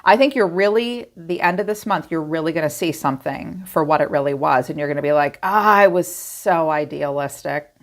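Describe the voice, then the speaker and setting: A middle-aged woman giving a casual tarot reading straight to her viewers, chatty and animated, acting out their inner thoughts. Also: deep voice, a little fast, hardly any pauses. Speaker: tarot reader.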